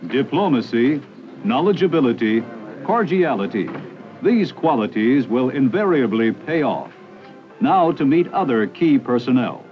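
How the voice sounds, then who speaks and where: A man narrates a mid-century educational film in a mid-pitched, authoritative voice. His scripted delivery is formal and clipped through lists. The soundtrack is old and muffled. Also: very animated, measured pace, soft background music.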